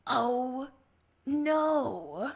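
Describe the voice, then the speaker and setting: Woman as wary alien ruler, husky and high. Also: lively intonation, extremely slow, heavily acted. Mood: insincere. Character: commanding, wary alien ruler of a space fleet.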